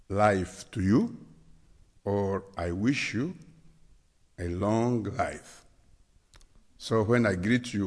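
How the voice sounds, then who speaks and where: A man from the Democratic Republic of the Congo with a Congolese accent, preaching a sermon as a guest, slow and deliberate. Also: on the low side, darkish tone. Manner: slow, deliberate, spells out words. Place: church sanctuary. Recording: church hall reverb.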